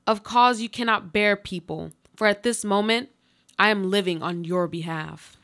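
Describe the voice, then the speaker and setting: A woman's voice: mid-range voice, moderate pace, a bit bright, close to an everyday voice. Trapped, plaintive woman acted in radio drama. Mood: accusing, weary. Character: trapped, injured woman buried in rubble, plaintive, resigned. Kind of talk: literary radio play monologue.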